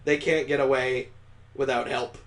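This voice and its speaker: A man's voice: medium pitch, level tone, moderate pace. A man hosting a let's play, reading visual novel narration aloud dramatically and breaking off for amused, incredulous asides. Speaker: gaming channel host doing a let's play.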